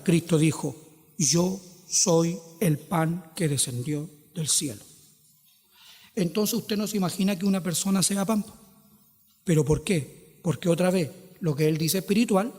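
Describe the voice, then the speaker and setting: A man's voice: a little high, measured pace, a little mellow, big-room sound. A Chilean man preaching a sermon, posing rhetorical questions and stretching out quoted scripture with emphasis. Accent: Chilean Spanish. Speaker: pastor.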